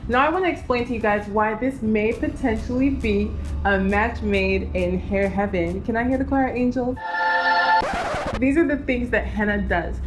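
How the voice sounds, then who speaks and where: A young woman in her twenties with a Nigerian accent, chatting about hair care in a lively, playful vlog with slangy asides. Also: fairly high pitch. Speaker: natural hair vlogger. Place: indoor home studio.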